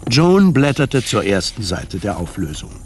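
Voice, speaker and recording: A man playing the wry, detached narrator of a radio drama, reading literary prose in a measured deadpan. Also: on the low side, a little hiss or hum. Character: wry, detached male storyteller of a satirical science-fiction tale.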